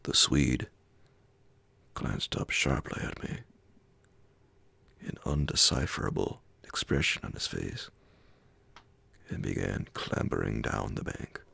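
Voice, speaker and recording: Super deep breathy guy reading horror audiobook asmr, slow and gravelly, hushed. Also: expressive, no echo. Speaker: narrator reading classic horror fiction. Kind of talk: ASMR audiobook scripted narration.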